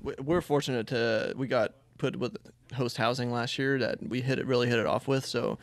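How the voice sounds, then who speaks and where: A man's voice: mid-range voice, steady tone, normal speed. A man, a golfer guest, answering plainly and modestly in a casual interview.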